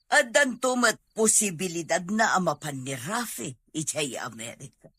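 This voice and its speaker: A woman playing a hopeful, scheming middle-aged mother in an audio drama, with a husky voice. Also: fairly low pitch, animated, moderate pace, a bit bright. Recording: faint music under the voice.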